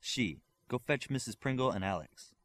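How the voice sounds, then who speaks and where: A man voicing a calm, commanding, protective superhero leader in an audiobook. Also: mid-range voice, normal speed, natural voice.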